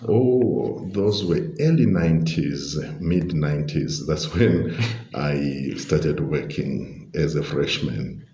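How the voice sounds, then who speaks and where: A middle-aged man with a Zimbabwean accent, giving measured, genial, explanatory answers as an interview guest. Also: extremely low pitch, dark tone. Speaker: podcast guest, leadership coach and pastor. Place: restaurant. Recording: dull, roomy, echoing sound.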